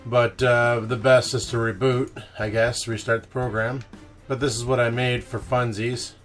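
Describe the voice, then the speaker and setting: A man's voice: medium pitch, level tone, moderate pace, soft background music. A man hosting a casual screen-recorded tech tutorial, talking informally with slang and mild swearing. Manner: informal, slangy and mildly profane. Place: indoor voice-over of a screen recording.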